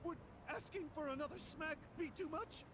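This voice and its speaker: A man's voice: very high-pitched, normal speed, background noise. An actor playing an eager, lovestruck masochistic man in a video game, melodramatically polite and theatrical, pleading as he talks. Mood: courteous, confessing. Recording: game audio with clear music behind.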